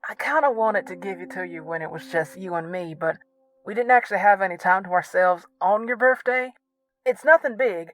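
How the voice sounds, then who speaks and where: A man's voice: sits high, fairly quick, a bit bright, dry recording, low-quality recording. An actor playing a shy, sweet young man in an audio drama, talking casually and hesitantly as if leaving a voicemail, rambling a little.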